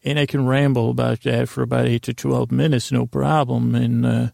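A man hosting a sleep podcast, talking in a creaky, meandering, self-deprecating monologue full of tangents. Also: medium pitch, flat delivery, normal speed, a little mellow, dry recording, clean studio sound. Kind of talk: rambling monologue.

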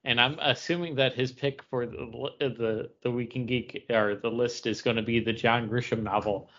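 A man's voice: medium pitch, fairly even intonation, normal speed, a bit bright. A man in casual banter as a podcast co-host. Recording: dull lo-fi podcast audio.

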